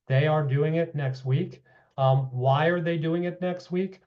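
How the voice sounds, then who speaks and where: A slightly hoarse man giving a public meeting presentation, walking through figures with frequent asides of "okay" and "you know". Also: a little high, measured pace. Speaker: housing policy presenter. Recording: dull lo-fi meeting audio.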